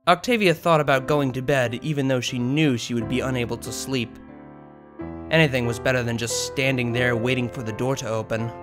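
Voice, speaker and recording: A man reading scripted fiction as an audiobook narrator. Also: mid-range voice.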